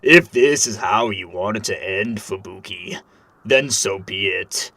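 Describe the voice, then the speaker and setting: A man playing a haughty, ominous villain grandmaster in an animated action story, gravelly, on a noisy microphone. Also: lowish voice, exaggerated voice. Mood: menacing. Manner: slow, ominous. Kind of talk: amateur animated action voice acting.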